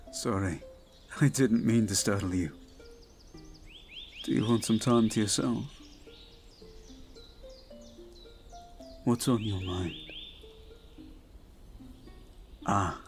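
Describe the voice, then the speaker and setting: A man playing a devoted, protective young prince in an intimate audio roleplay, speaking gently and somewhat formally to his betrothed. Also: lowish voice. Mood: gently teasing. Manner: gentle, soothing, measured, slightly formal phrasing.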